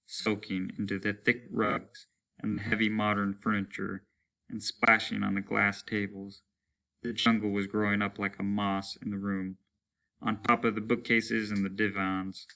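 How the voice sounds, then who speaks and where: A man's voice: on the low side, creaky, fairly bright tone. A man reading a story aloud as a teacher would, in a dramatic narrative style.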